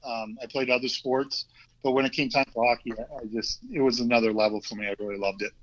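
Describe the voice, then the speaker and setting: A man in his fifties with a Vermont accent talks easily as a podcast guest. He speaks at a middling pitch and often stops with ums and starts over. The sound is dull and a little lo-fi. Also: fast. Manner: relaxed, hesitant, many ums and restarts. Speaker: guest, former hockey player. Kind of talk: casual interview chat. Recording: dull lo-fi audio.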